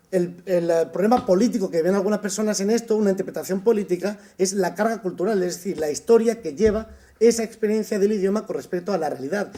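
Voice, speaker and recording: A man from Spain giving a summer course lecture, thoughtful, often pausing with "eh" and restarting his sentences. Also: high-pitched, dark tone. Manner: thoughtful, with frequent "eh" pauses and restarts. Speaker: university lecturer.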